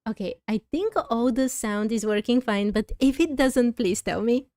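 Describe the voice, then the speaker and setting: A woman's voice: a little high, moderate pace, darkish tone, no room sound, clean studio sound. A woman giving casual game commentary as she plays, musing aloud and asking questions as she goes. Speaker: game streamer.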